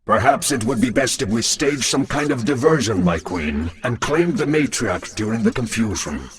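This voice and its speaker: A man plays a dutiful lieutenant serving an alien queen in a video game. He speaks formally and respectfully in measured phrases, with a lively, wide-ranging pitch that stays at a middling height overall. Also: lightly acted. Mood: calculating.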